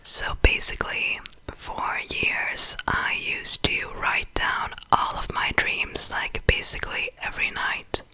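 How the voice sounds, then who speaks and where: Slow whispering Swedish-accented woman. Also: rough recording. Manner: soft unvoiced whisper, slow and unhurried. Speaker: ASMR creator. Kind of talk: ASMR whispering.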